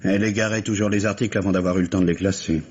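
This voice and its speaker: Bereaved husband, radio mystery: deep, distressed yet polite, slightly muffled vintage sound. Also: male voice, steady tone, rapid-fire, a little mellow, slightly rough. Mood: disbelieving, defensive. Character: bereaved husband, shaken, polite, defensive about his wife. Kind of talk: French radio mystery drama acting. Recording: muffled, noisy vintage radio drama recording.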